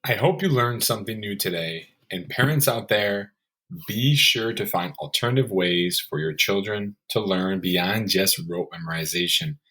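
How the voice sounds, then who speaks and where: A man's voice: fairly low pitch, moderate pace, sharp tone. A man presenting a podcast in a scripted narration style, as its host.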